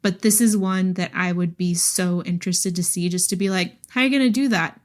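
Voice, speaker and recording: A woman co-hosting a podcast, chatting casually and informally about books in an animated, giggly way. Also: fairly low pitch, a little fast. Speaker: podcast co-host. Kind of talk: casual book chat.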